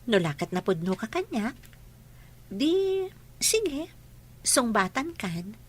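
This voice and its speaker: A woman's voice: fairly high pitch, very animated, slow, slightly rough, heavily acted. A woman playing an affectionate young woman being courted, acted in the style of a radio comedy drama. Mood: earnest, hesitant.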